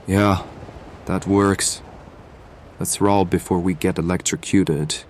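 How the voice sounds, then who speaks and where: A man's voice: deep voice, steady tone, takes it slowly, a little mellow, slight background noise. An actor playing a practical male survivor in an audio drama, terse and plain-spoken. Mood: decisive.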